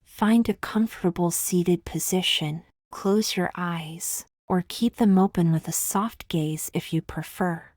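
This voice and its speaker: A woman leading a scripted guided meditation in a soothing, gentle, measured way, with long pauses and soft, breathy phrase endings. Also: fairly low pitch, dry recording, studio quality.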